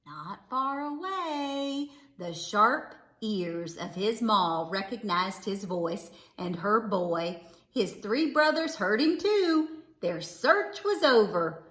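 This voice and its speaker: A woman reading a children's storybook aloud expressively, with dramatic, drawn-out emphasis on words. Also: mid-range voice, expressive, fairly bright tone. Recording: echoey, roomy sound.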